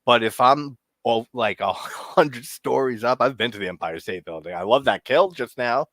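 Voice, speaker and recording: A man's voice: mid-range voice, moderate pace, a bit bright, dry recording. A man in his thirties with a New York accent, chatting casually over a movie, laughing often and swearing freely. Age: 30s. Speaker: co-host of a movie watch party. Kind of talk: casual commentary chat.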